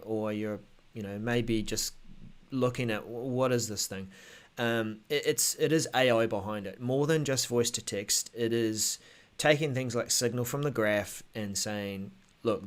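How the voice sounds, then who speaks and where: A man co-hosting a tech show in a casual, halting way, thinking aloud as he explains updates. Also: mid-range voice. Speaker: show host.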